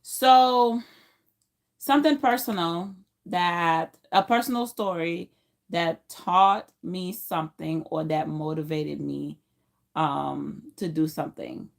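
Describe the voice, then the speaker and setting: Woman, around thirty, from Haiti, non-native English, lowish voice, casual livestream storytelling, emphatic and repetitive. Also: slow pace, creaky. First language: not English, learned it as a teenager. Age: late 20s to early 30s. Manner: informal, emphatic, repeats phrases for emphasis, acts out quotes. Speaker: livestream host. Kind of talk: casual personal storytelling on a live stream.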